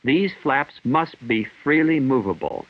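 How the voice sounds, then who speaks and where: A man reading scripted instructional narration in a formal, measured, clinical manner, in the style of a 1960s training film. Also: medium pitch, big pitch swings. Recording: dull 1960s film soundtrack.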